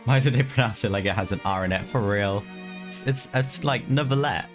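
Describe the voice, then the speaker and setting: A man's voice: fairly low pitch, fairly quick. A young man streaming a game, making informal, wry asides as he reacts. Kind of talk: casual live gameplay reaction. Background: game music.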